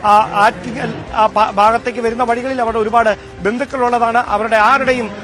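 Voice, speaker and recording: A man reporting live as a field news reporter, explaining at length in halting, repetitive run-on sentences. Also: very high voice, fairly even intonation, a bit bright.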